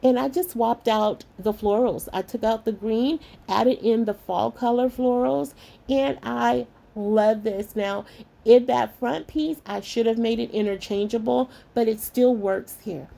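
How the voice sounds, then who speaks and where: Middle-aged lady craft vlogger, bit hoarse, gravelly and mellow, chatty with her lovies. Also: fairly high pitch, unhurried. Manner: warm, chatty, calls viewers lovies and y'all. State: slightly hoarse, voice going. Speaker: craft channel host. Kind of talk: voice-over craft tutorial, chatty.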